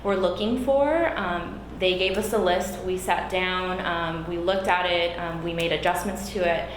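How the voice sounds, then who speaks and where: A woman running for a school board seat, whose first language is Spanish, answering questions earnestly with frequent pauses and fillers. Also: fairly low pitch. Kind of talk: candidate interview. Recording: echoey room, background noise.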